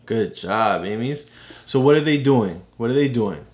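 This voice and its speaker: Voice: mid-range voice, lively intonation, normal speed, a little mellow. A parent casually explaining a book to a child, encouraging and repeating points.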